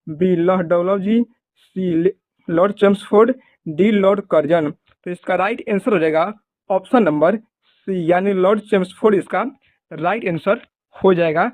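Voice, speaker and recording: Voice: mid-range voice, no echo. A quiz presenter reading multiple-choice exam questions and answers in a formulaic, repetitive way. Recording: muffled phone-like audio.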